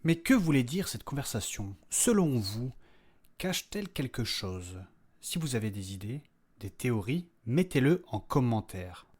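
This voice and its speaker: A man's voice: medium pitch, big pitch swings, unhurried, darkish tone, slightly rough. A man narrating a fiction podcast in a scripted, dramatic storytelling style. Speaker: narrator and host. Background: music.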